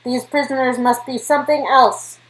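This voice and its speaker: A woman's voice: sits high, fairly even intonation, normal speed, low-quality recording. A woman reading character dialogue from an interactive game aloud, with casual reactions mixed in.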